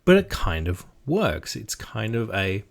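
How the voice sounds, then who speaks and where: Chatty Australian man, lowish voice. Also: big pitch swings, unhurried, vocal fry. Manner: chatty, self-deprecating, encouraging. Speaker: game master giving tips. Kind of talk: casual instructional talk.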